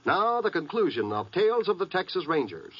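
A man with a husky voice reading a scripted 1950s radio promo as an announcer. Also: sits high, big pitch swings, a little fast, very noisy. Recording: muffled vintage 1950s radio broadcast.

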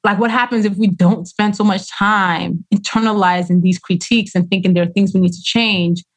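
A woman author talks through a casual interview at a mid pitch. She is expressive, acting out what other people said in their own voices and dropping in slang. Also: moderate pace. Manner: expressive storyteller who acts out quoted voices, uses slang. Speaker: author guest.

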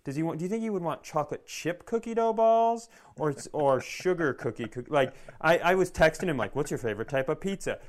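A man's voice: a little high, expressive, brisk, hardly any pauses. A man co-hosting a podcast in casual comedic chat, self-mocking and acting out little quotes as he talks. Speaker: podcast co-host.

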